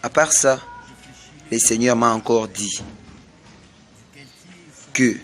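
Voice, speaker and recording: A man from Congo with a Congolese French accent, delivering a slow, repetitive prophetic sermon. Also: medium pitch, fairly even intonation, a bit bright, faint music behind. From Congo (DRC). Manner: slow, repetitive, emphatic pronouncements. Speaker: self-styled prophet.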